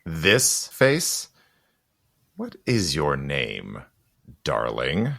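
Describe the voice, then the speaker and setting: An actor playing a commanding gentleman suitor in a period audio drama, formal and clipped in manner, suspicious and grieving. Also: very deep voice, huge pitch swings, extremely slow, gravelly, heavily acted. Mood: suspicious, menacing.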